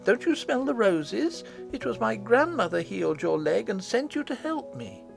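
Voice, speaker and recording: A man's voice: high-pitched, very animated, moderate pace, gravelly, faint music behind, voice pushed up, full character voice. A man voicing an earnest, affectionate young girl princess with eager, childlike exclamations in an audiobook. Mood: eager, certain.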